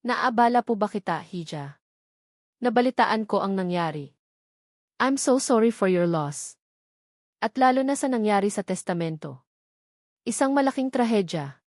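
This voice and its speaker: A female storyteller plays a calm businessman in his fifties from an audio drama. He speaks in a measured, falsely sympathetic way, quietly scheming, with a dry sound. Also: mid-range voice, no room sound, close to an everyday voice. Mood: soothing, sympathetic.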